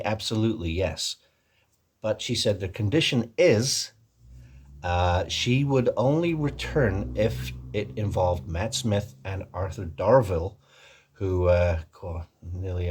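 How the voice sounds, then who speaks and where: A low, raspy man doing an American male vlogger voice. He rambles in a casual, hesitant way and pokes fun at himself as he presents to his viewers. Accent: put-on American. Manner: rambling, self-deprecating, hesitant. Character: chatty male American video presenter, self-mocking and rambling. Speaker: video host and actor. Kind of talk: casual vlog commentary.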